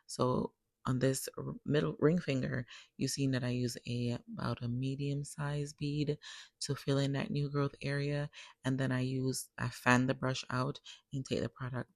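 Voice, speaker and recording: Tired woman with a low, gravelly voice. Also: normal speed, no room sound. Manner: relaxed step-by-step explaining, addresses viewers as guys. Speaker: nail technician. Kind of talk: tutorial voice-over. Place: recorded separately as a voice-over.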